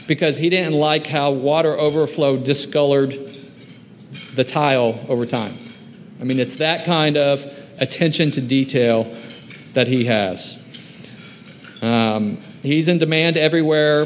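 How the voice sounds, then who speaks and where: Upbeat mayor in an echoey hall. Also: male voice, on the high side. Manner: friendly, upbeat, filler-heavy delivery. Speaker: mayor hosting a round table. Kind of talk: introducing a guest at a lunch event. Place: large hall at a lunch event. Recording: echoey hall with faint noise.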